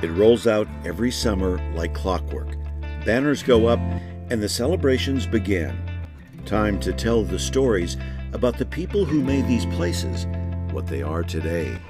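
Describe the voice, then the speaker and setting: A man's voice: fairly low pitch, expressive, normal speed, loud music behind the voice. A man hosting a travel show, reading scripted narration. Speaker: host and narrator.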